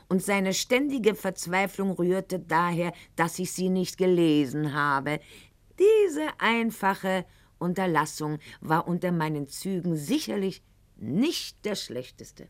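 An actress playing a proud, sharp-tongued aristocratic lady in a radio play monologue, speaking in an articulate, ironic and haughty manner. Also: low-pitched, exaggerated voice. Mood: scornful, self-assured. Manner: haughty, ironic, articulate, addressing a silent listener.

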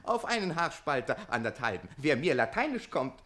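An actor playing a cocky, quick-witted young man defending himself in a comic radio play, indignant and theatrical. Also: mid-range voice, big pitch swings, a bit bright. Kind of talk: German radio play comedy acting.

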